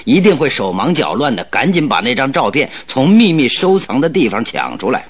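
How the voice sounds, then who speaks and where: A man's voice: medium pitch, very animated, brisk, husky. An actor playing a clever, confident detective in a radio drama, explaining his schemes in a persuasive, eager way. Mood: confident scheming. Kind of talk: Chinese radio drama acting.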